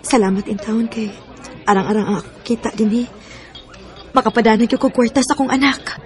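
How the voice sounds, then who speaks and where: A woman's voice: fairly high pitch, fairly quick, darkish tone, close to an everyday voice. A woman acting a struggling, tender young single mother in a radio drama, earnest and emotive, with a Bisaya Filipino accent. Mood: weary, hopeful.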